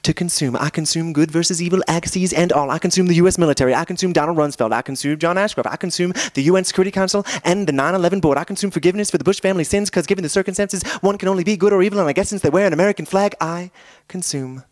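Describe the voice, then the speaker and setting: A man delivers slam poetry at a very quick clip, in a fairly high voice. His phrasing is repetitive and emphatic, piling up items like a chant with a sardonic edge. The sound is close and dry, without room echo. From New York. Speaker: slam poet.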